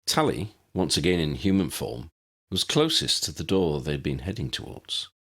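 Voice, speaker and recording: A man reading scripted story narration in an expressive storytelling manner. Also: a bass voice, normal speed. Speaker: audiobook narrator.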